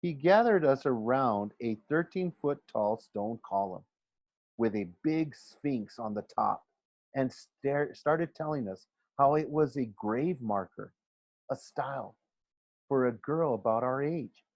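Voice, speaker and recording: A man's voice: medium pitch, lively intonation, unhurried, a little mellow, dry recording, muffled recording. A man reading a storybook aloud expressively like a teacher, now and then stumbling and correcting himself.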